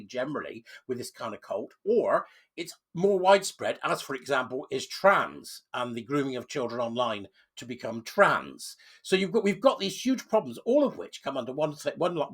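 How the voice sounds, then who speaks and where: A man with a cold delivers an insistent commentary monologue, his voice croaky and husky. His pitch swings widely as he hammers key words with sudden loud stresses. He sits at a middling pitch for a man. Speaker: channel host.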